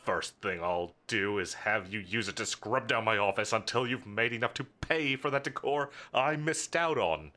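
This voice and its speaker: A man's voice: on the low side, normal speed, hardly any pauses, sharp tone. A man playing a smug, menacing villain boss in an audio roleplay, with a theatrical, mocking, condescending delivery. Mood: sarcastic, threatening. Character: smug, menacing male supervillain boss toying with his enemy.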